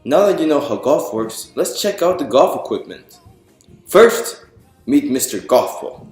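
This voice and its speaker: A man's voice: mid-range voice, sing-song, unhurried, faint music behind. Man reading storytime in an echoey room. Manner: explanatory, presentational, addressing listeners with questions. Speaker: author reading own picture book to an audience. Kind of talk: storytime read-aloud to an audience.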